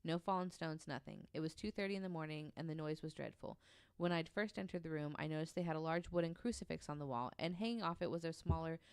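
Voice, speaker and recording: A woman's voice: low voice, fast, few pauses, smooth, no room sound. A woman telling a true story casually on a podcast, reading quotes aloud in an informal, hesitant way.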